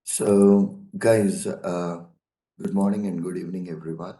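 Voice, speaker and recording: A hoarse man with a cold, teaching a casual online lesson on software testing, calling his listeners "guys" and repeating his points. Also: low voice, steady tone, reverberant, a little hiss or hum. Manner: slow and repetitive, addresses listeners as "guys".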